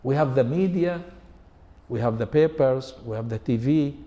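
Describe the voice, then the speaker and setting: A man in his seventies, an Arabic speaker, delivers an English-language religious lecture. His voice is mellow and dark, and he piles up lists and rhetorical questions in a measured way. The sound carries a long reverb tail from a large hall. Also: mid-range voice. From Lebanon. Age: 70s. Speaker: Islamic scholar and lecturer.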